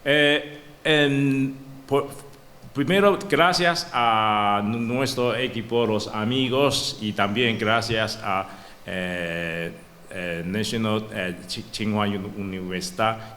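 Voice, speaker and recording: A man from Taiwan giving a conference talk in halting non-native Spanish, with many broken-off words and restarts. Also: lowish voice, fairly bright tone. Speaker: panel speaker, researcher. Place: conference hall. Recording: long hall reverb, faint room noise.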